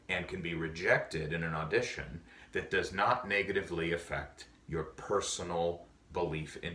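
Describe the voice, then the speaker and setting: Low-voiced man giving earnest advice. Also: a bit bright. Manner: earnest, measured advice with many pauses. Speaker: awards presenter. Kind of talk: prepared speech to drama students. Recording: echoey, distant mic.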